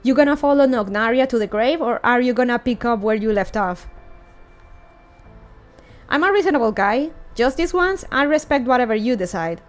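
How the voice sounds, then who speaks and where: A wry fantasy game schemer read by a woman, blunt and conversational. The voice sits high. Faint music runs underneath. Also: expressive, moderate pace, close to an everyday voice.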